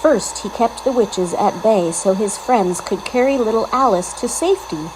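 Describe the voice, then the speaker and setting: A woman reading a children's story aloud with expressive, scripted narration. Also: medium pitch, normal speed, noisy background.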